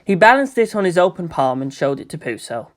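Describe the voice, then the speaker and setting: A man's voice: fairly high pitch, very animated, brisk. A man reading scripted fiction aloud as a storyteller, in the style of an audiobook narrator.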